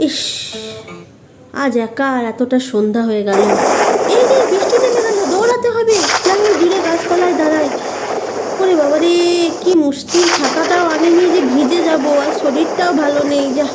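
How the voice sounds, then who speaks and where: A woman's voice: very high-pitched, lively intonation, normal speed, very noisy, voice pushed up. A woman voicing an anxious young college student from a village, fretting and exclaiming to herself in a storybook tale. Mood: anxious, startled. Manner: fretful, exclaiming, talking to herself.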